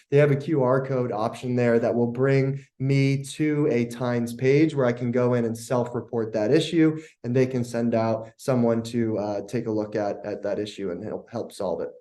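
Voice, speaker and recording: Man, mellow dark tone, mid pitch, conversational presenter, explanatory case-study walkthrough.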